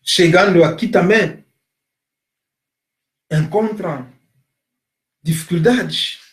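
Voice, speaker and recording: A man from Africa giving an impassioned monologue, emphatic and repetitive, stressing his points loudly. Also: high voice, slow pace, echoey room. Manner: emphatic, repeats phrases, rising to loud stresses. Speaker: commentator on migration.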